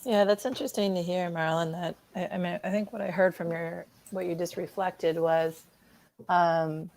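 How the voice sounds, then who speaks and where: Low, creaky-voiced woman hosting. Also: fairly even intonation, moderate pace, darkish tone, a little hiss or hum. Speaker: show host. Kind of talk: conversational interview hosting.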